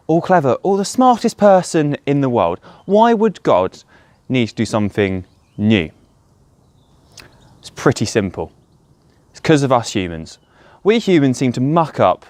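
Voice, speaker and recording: A man's voice: mid-range voice, darkish tone, no echo. Earnest man giving a short sermon, dry sound. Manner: earnest, explanatory, poses rhetorical questions. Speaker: church speaker giving a devotional talk. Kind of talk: short sermon talk to camera or listeners.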